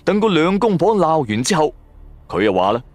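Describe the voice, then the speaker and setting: A man giving suspenseful, expressive narration of a scripted horror drama. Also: a little high, normal speed, dry recording. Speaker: storyteller narrator.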